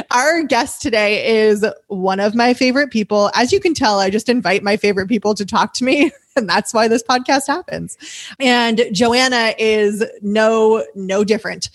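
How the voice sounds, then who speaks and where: A woman's voice: on the high side, no echo. A woman hosting a podcast, chatting casually with a guest and laughing often. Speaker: podcast host. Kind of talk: casual interview chat.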